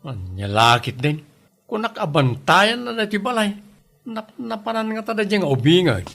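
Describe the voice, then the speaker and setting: Grumbling comedy father, played by a man, worried, forceful bright voice, music behind. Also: sits high, big pitch swings, normal speed, creaky, full character voice. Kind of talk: comedy drama acting.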